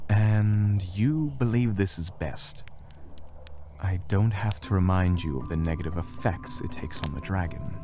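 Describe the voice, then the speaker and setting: A man's voice: low voice, a little slow, noisy background. A man playing a smug, sardonic, knowing companion in a fantasy audio drama, with dry condescension. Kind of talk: audio roleplay drama acting.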